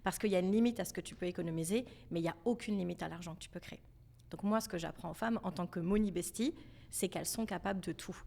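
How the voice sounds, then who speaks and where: A young woman from France with a French accent, talking as a podcast guest in a lively, emphatic, convinced way about money. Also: lowish voice, quick pace, gravelly. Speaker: podcast guest, financial educator. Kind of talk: casual podcast conversation.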